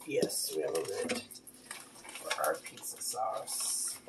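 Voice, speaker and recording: A woman's voice: a bass voice, very animated, slow pace, very noisy. A woman in her thirties chatting casually in a home kitchen as she cooks with her daughter, praising her often, recorded on a phone camera. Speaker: mom cooking with her daughter. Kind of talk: casual cooking vlog chat. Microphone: phone camera on the counter. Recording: clear clatter of dishes and pans.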